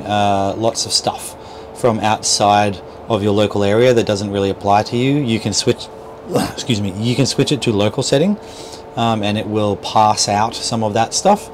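A man's voice: fairly low pitch, background noise. A middle-aged man giving an informal, step-by-step how-to demonstration as an instructor, often checking in with the listener. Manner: explanatory, step-by-step, checks in with "right?". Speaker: boat dealer instructor. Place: small boat cabin at a marina. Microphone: clip-on lavalier mic.

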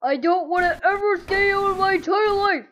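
A woman's voice: extremely high pitch, moderate pace, a bit bright, voice pushed up. A woman voicing an over-dramatic, whiny animatronic bear in an improvised video game role play. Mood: upset. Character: whiny animatronic bear having tantrums, turning evil.

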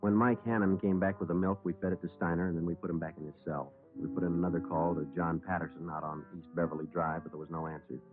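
An actor playing a terse, businesslike police sergeant in a 1950s radio drama, clipped, deadpan and matter-of-fact. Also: male voice, low voice, quick pace, hardly any pauses, natural voice. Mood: flat, factual. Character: terse, businesslike male police detective sergeant who narrates. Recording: muffled old radio recording with music.

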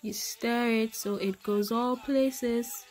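A woman's voice: on the high side, steady tone, takes it slowly, a little mellow, soft background music. A woman demonstrating a recipe in her home kitchen, chatty and addressing viewers as her people. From Ghana. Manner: chatty, talks to viewers as "my people", exclaims over the food. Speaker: cooking vlogger. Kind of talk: casual cooking demonstration.

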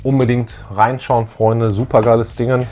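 A man's voice: medium pitch, level tone, moderate pace, warm tone. A middle-aged man co-hosting a fan show, chatting casually with emphatic enthusiasm. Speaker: co-host of a retro fan show. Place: small indoor room with collectibles.

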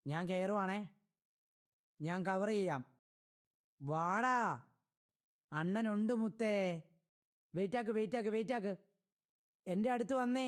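A man voices short game callouts and catchphrases. He spaces the words out at a slow pace, as lines from a voice pack. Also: high voice.

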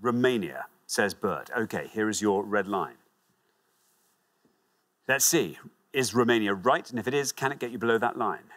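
A man's voice: fairly low pitch, animated, normal speed, long pauses, fairly bright tone. A middle-aged man hosting a studio quiz show with a lapel mic, chatty and encouraging. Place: large TV studio with audience.

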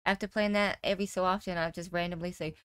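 A woman's voice: lowish voice, fairly even intonation, fast, a bit bright, clear, resonant tone, no echo. A woman hosting a gaming reaction video, commenting casually off the cuff and thinking aloud in a tentative way.

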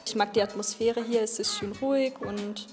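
A woman's voice: fairly high pitch, steady tone, normal speed. A young woman giving casual interview answers as a café guest. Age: young adult. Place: indoor café. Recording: music clearly behind voice.

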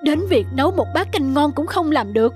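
Sad soft-hearted cartoon dad, heavy and self-blaming, music underneath. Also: male voice, very high-pitched, animated, brisk. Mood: dejected, self-blaming. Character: despondent, gentle painter father losing his sight. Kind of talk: dubbed cartoon dialogue.

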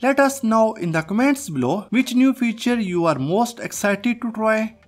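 A man with a high-pitched, animated voice hosts a scripted software tutorial. He explains things step by step over background music. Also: normal speed. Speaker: tutorial host. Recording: music behind the voice.

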